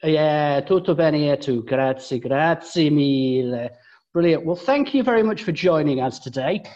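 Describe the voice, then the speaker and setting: A man's voice: fairly high pitch, animated, measured pace, a little mellow, highs cut off. An older man hosting a podcast interview, friendly and hesitant, with many fillers as he asks his questions. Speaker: podcast host.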